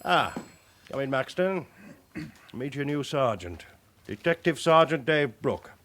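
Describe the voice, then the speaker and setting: A man acting a stern, brisk chief inspector in a British radio drama, authoritative and clipped in his delivery. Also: medium pitch, expressive, fairly bright tone. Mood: brisk, commanding. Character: stern, brisk male police chief inspector, dryly commanding.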